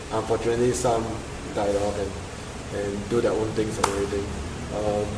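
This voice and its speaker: A man with a Singaporean accent explaining his views in casual podcast talk, with punchy, animated phrasing. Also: medium pitch. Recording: echoey room with clear background hiss.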